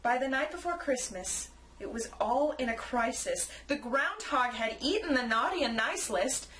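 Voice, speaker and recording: A woman narrating a rhymed children's story in an expressive, theatrical way. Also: high voice, moderate pace, rough recording. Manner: theatrical, acts out character lines.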